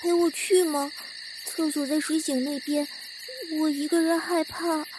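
A woman's voice: sits high, level tone, unhurried, noisy background. A woman acting a chatty, boastful young maidservant in a Chinese period audio drama. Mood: pleading.

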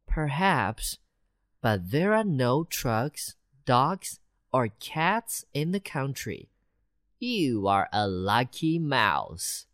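A woman voicing a small, anxious country mouse in a children's storybook, squeaky and slow. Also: sing-song, dry recording, clean studio sound, full character voice. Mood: calm.

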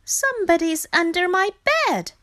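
A woman's voice: extremely high pitch, moderate pace, fairly bright tone, pitched above the performer's own voice. A woman voicing a small, frightened male frog in a children's storybook, pleading and alarmed.

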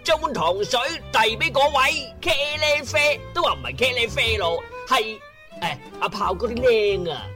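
A man's voice: very high-pitched, lively intonation, normal speed. A man with a Cantonese accent narrating a comic radio drama in a playful, colloquial way. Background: music.

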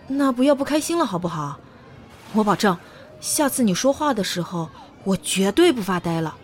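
A woman playing a gentle, easily flustered young woman who dotes on a child in an audio drama, soft and hesitant. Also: medium pitch, big pitch swings, moderate pace, slight background noise, natural voice. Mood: coaxing. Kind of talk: Chinese audio drama acting.

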